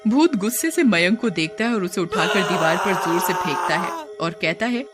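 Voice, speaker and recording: A woman's voice: on the low side, animated, moderate pace, loud music behind the voice. A woman giving scripted narration for a scary cartoon story.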